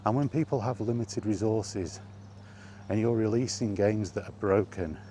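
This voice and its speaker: A man's voice: lowish voice, normal speed, dark tone, a little hiss or hum. A man talking off the cuff in an exasperated gaming rant, emphatic and full of rhetorical questions. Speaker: solo video game vlogger.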